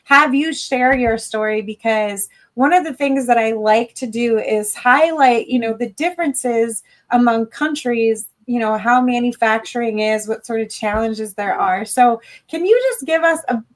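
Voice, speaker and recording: A woman's voice: on the high side, a little slow, few pauses. A woman hosting a live interview podcast over a video call, upbeat and welcoming as she asks questions. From United States. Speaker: podcast host.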